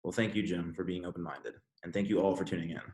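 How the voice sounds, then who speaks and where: Deep, fast-talking male podcast host. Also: fairly even intonation, a little mellow, roomy sound. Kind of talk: scripted panel podcast.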